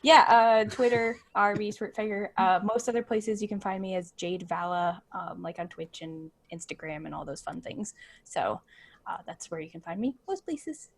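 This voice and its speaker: A woman's voice: medium pitch, normal speed, no room sound. A woman chatting casually and playfully as a podcast panelist.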